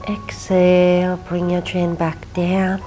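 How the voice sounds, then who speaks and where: A woman from Finland with a Finnish accent, teaching a gentle yin yoga class and softly cueing breath and movement. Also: on the low side, completely flat delivery, slow, soft background music, rough recording. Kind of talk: guided yin yoga instruction.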